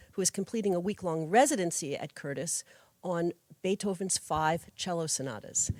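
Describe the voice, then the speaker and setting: A woman hosting a live radio interview from a performance studio, asking questions briskly. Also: on the low side, dry recording. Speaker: radio host.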